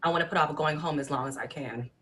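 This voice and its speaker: A woman's voice: sits low, fast, fairly bright tone, slight background noise. An actress at an online table read playing a forward, lonely woman from a support group in a drama. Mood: inviting.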